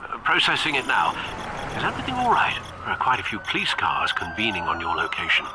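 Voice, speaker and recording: A loyal older British butler from a game, formal, measured and caring. His mid-pitched voice moves very freely up and down, over clear noise and sirens. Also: wildly swooping pitch. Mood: concerned. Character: loyal, proper older butler, a worried confidant. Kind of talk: video game voice acting.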